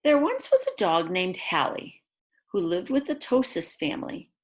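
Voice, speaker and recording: A woman reads a picture book aloud to young children in an expressive way, adding chatty asides about the pictures. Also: lowish voice, moderate pace. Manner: expressive, exclaims and gasps over the pictures. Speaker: read-aloud storyteller for children.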